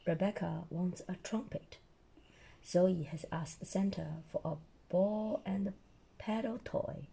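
A woman storyteller with a hoarse voice reading a children's picture book aloud and explaining it simply to a child. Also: fairly low pitch, takes it slowly, warm tone, poor audio. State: hoarse, losing her voice.

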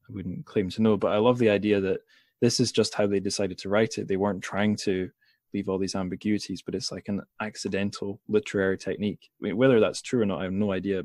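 A man's voice: sits low, little pitch movement, fairly quick, a little mellow, no echo, clean studio sound. A man hosting a podcast, discussing literature casually in a rambling, tentative way as he thinks aloud. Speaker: podcast host.

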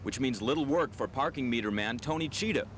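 Man, TV news reporter, mid pitch, scripted feature narration, noisy old street tape. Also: a little fast. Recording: noisy 1980s TV field tape.

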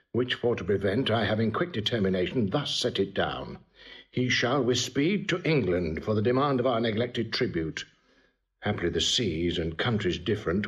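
A man's voice: low voice, lo-fi sound, close to an everyday voice. An actor playing a shrewd, suspicious king in a classical Shakespearean audio drama, formal and commanding.

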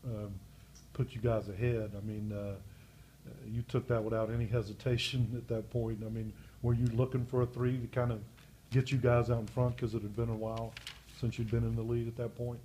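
Male reporter, casual questioning, low flat mellow voice, light background noise. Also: a little fast. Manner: casual, chatty questioning with fillers. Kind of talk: press conference questions.

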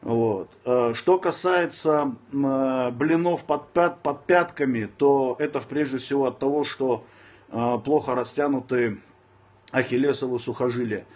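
A man's voice: medium pitch, a little slow. A man in his thirties from Dagestan, answering viewers' questions on a casual livestream from his phone, indoors. He is blunt and curses freely. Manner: blunt, curses and snaps at trolls between calm advice. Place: indoors, sitting in a room. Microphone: phone camera close up.

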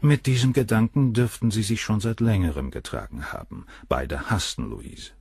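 A man's voice: lowish voice, animated, normal speed, creaky, a little mellow, breathy edge, dry recording, clean studio sound, full character voice. An actor in a German crime radio play playing a cold, cynical middle-aged surgeon, detached and coolly superior as he answers questions. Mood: cool, composed.

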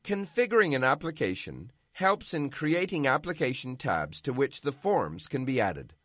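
Guy doing a software how-to voiceover, bouncy intonation, dry close mic. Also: medium pitch, big pitch swings, dry recording. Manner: measured, instructional. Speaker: software tutorial narrator. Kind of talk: scripted narration.

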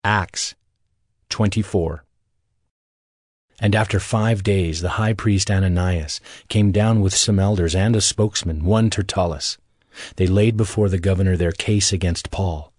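A man's voice: sits low, fairly even intonation, unhurried, a little mellow, no room sound, clean studio sound. A man narrating dramatized scripture as a scripted reading.

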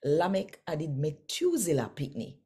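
An older woman with a Jamaican accent reading scripture in Patois in a measured, deliberate way. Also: low voice, huge pitch swings, mellow, slightly rough. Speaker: Bible reader and presenter. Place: small home room, indoors.

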